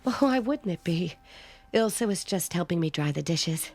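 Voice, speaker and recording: Anxious, tender housewife, swooping intonation. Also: female voice, lowish voice, expressive, normal speed, a little mellow. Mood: uneasy, guarded. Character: anxious grieving housewife, possessively tender toward foster girl. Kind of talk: naturalistic radio drama acting.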